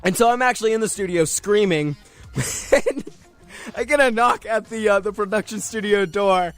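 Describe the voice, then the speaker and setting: A young male radio host with a distinctly high voice talks casually on his own. He rambles along in a jokey, self-mocking way, with music playing under him. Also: moderate pace, fairly bright tone. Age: young adult. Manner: rambling, self-deprecating, jokey, often chuckling. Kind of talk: casual solo radio chat.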